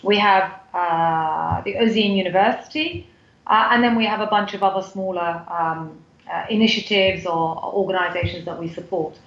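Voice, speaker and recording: A woman's voice: on the low side, normal speed, fairly bright tone, roomy sound, muffled recording. A woman from the UK with a British accent, a guest on a podcast interview, talking in a chatty way with many fillers and restarts. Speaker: guest, foundation CEO.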